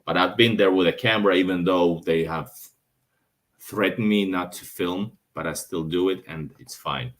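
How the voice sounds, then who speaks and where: A man's voice: deep voice, moderate pace, fairly bright tone. A man with a Russian accent, a travel vlogger, chatting casually over his own footage, rambling and correcting himself as he goes. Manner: rambling, with restarts and self-corrections.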